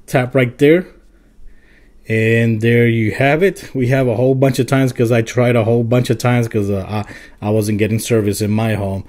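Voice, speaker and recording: A man presents a phone app tutorial in a casual, informal way. His voice is mid-pitched and on the mellow side. He explains each step plainly, as if showing a viewer around. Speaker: tech video host.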